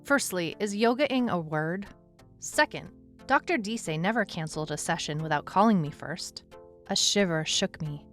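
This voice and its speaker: A woman's voice: fairly low pitch, animated, normal speed, a bit bright. A wry female detective over music. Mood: wry, reflective. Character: wry, anxious female detective narrating her own case. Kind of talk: noir-like scripted first-person audio drama narration.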